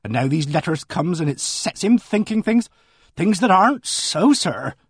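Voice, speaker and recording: A man's voice: high voice, big pitch swings, moderate pace, slightly rough, dry recording, clean studio sound, voice pushed up, exaggerated voice. A man voicing an earnest, indignant middle-aged village charwoman who rambles breathlessly. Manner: breathless, rambling country speech.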